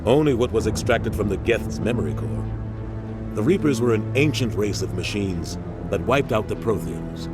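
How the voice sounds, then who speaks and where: A brisk, grave male ambassador, voiced by an actor, who speaks in a matter-of-fact way. Game music plays behind him. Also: on the low side, lively intonation, natural voice.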